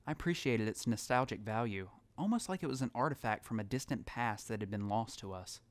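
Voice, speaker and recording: A man's voice: fairly low pitch, animated, fairly quick, dry recording, lightly acted. An actor playing a troubled lone survivor, narrating his experiences in an introspective first-person audio drama style. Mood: reflective, subdued. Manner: introspective, storytelling. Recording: clearly noisy background ambience.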